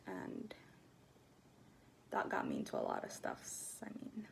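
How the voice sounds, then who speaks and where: Slow, gravelly-voiced young woman vlogger. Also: extremely low pitch, wildly swooping pitch, rough recording. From Ethiopia. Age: 20s. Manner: soft-spoken and hesitant, many fillers, drops to near-whispers. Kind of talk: casual personal talk to camera.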